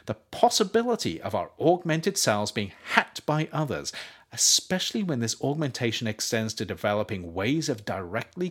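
A man reading his own nonfiction essay as scripted narration, in measured phrases with emphatic stresses. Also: on the low side. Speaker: author reading their own book.

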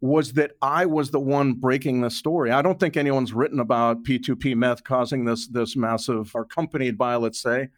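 A man's voice: mid-range voice, fairly even intonation, normal speed, few pauses, no echo, studio quality. A man speaking as an interview guest, explaining conversationally and emphatically, repeating words for stress. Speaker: guest, author and journalist.

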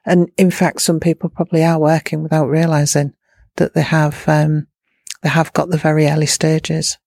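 A woman's voice: deep voice, little pitch movement, moderate pace, vocal fry, a little mellow, no echo, clean studio sound. A woman charity manager answering interview questions in a plain-spoken way, with frequent fillers and restarts.

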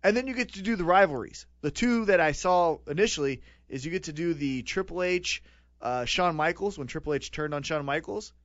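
A man's voice: on the high side, lively intonation, brisk, a bit bright, dry recording, dull audio. A man in his late twenties co-hosting a podcast, rambling and joking in casual chat. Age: 20s. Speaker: podcast co-host.

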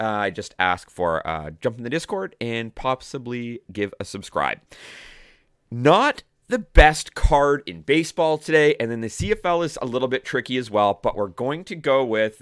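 A young man with a hoarse voice hosting a casual sports betting show, talking conversationally with fillers and self-corrections. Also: mid-range voice, a bit bright, dry recording, studio quality. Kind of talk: casual solo sports picks talk.

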